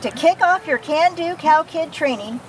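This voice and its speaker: A woman's voice: high voice, animated, normal speed. A woman reading a children's picture book aloud expressively, as if to young listeners. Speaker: storybook reader. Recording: noisy recording, distant from the mic.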